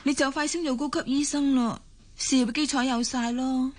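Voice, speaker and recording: A woman's voice: sits high, fairly even intonation, normal speed, close to an everyday voice. A woman acting in a Hong Kong Cantonese radio drama as a doting, pushy young hospital assistant and possessive girlfriend. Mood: pressing.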